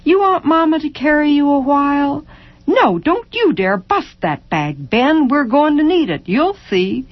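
A woman voicing a gentle, dreamy working mother of four, with a soft, lilting way of lingering over words, on a dull lo-fi recording. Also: sits high, big pitch swings, measured pace, dry recording, voice pushed up. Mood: coaxing.

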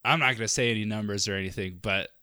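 A man's voice: lowish voice, fast, bright, forceful tone, clear, resonant tone, dry recording, studio quality. A man in his late twenties in a casual, chummy chat, speaking informally with plenty of slang. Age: late 20s. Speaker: software engineer chatting with a friend.

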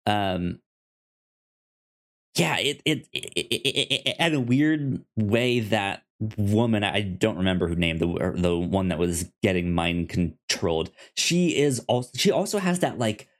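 A man co-hosting a podcast, talking casually about films like an enthusiastic fan, with halting, repeated starts. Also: lowish voice, animated. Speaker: podcast co-host. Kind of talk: casual movie discussion.

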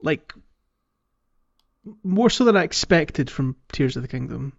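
Male podcast co-host, higher register, casual gaming chat, slightly muffled audio. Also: expressive, measured pace, gravelly.

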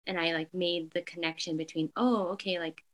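Soft-spoken young woman, filler-heavy. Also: fairly low pitch, steady tone, a little fast. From Canada. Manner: frequent "like" fillers, soft and hesitant. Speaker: interviewee. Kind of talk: casual reflective interview.

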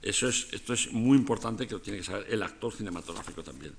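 Older man lecturing with a gravelly voice. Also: fairly low pitch, expressive, fast. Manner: digressive, frequent restarts and rhetorical tags. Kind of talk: conversational lecture.

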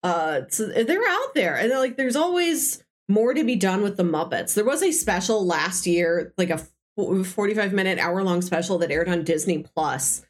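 A woman's voice: mid-range voice, expressive, moderate pace, hardly any pauses. A woman co-hosting a casual film discussion, explaining things in a rambling, conversational way peppered with "like". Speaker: podcast co-host. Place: indoors, recorded in person.

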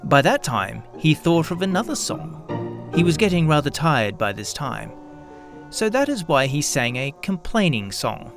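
A man hosting and narrating a children's story in a theatrical, expressive, deliberate storyteller style. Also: a little high, vocal fry, soft background music. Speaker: host and narrator of a radio play show.

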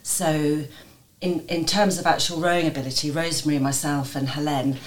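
Woman in her fifties, deep voice, thoughtful interviewee. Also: steady tone, normal speed. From Jersey. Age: 50s. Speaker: guest. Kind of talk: casual interview answers.

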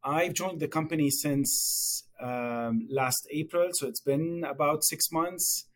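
A middle-aged man from Lebanon with a Lebanese accent, answering as a guest on a podcast interview, speaking haltingly with many fillers and restarts. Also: on the high side. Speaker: pharmaceutical company executive, guest.

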